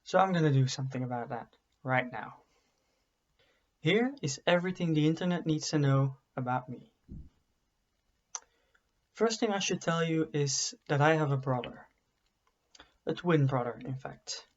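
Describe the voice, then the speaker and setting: Young man, wry vlogger, slightly high voice, muffled webcam sound. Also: moderate pace, pauses often. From Belgium. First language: Dutch. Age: young adult. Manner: dry, self-deprecating humor. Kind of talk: casual self-introduction to camera. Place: bedroom study with bookshelves, indoors. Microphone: webcam at desk. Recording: dull lo-fi webcam audio.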